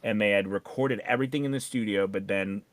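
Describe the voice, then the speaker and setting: A man coaching in a conversational live discussion, explaining in a halting way with repeated words. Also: on the low side, no echo. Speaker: voiceover coach and co-host.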